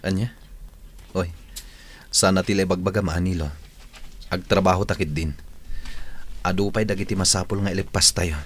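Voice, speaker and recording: A man's voice: sits low, fairly even intonation, moderate pace, pauses often, natural voice. A man playing an earnest, put-upon office worker in a Filipino radio drama, with an Ilocano accent. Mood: defensive. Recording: noisy old radio recording.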